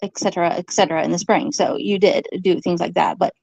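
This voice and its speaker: A woman arguing informally in an accusatory, halting way, repeating her phrases, on a slightly dull recording. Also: on the low side, fairly even intonation, creaky, darkish tone, husky. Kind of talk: informal argumentative monologue to camera.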